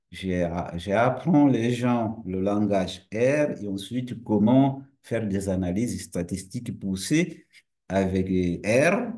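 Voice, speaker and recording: A male university lecturer and researcher whose first language is Portuguese, explaining a topic to camera in a relaxed, friendly way, asking rhetorical questions and restarting phrases often. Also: fairly low pitch.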